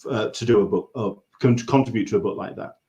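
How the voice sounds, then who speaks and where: A man from England with an English accent hosting a casual interview, haltingly searching for his words. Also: lowish voice, warm tone. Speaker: interview host.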